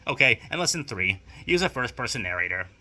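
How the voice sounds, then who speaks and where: A young man delivers a scripted personal essay at a quick clip. His voice is very bright and sharp, sitting at an average male pitch. He sounds bookish and formal, stressing his points firmly. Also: sing-song. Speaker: video essayist and audio drama producer. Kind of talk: scripted narration, opinion essay.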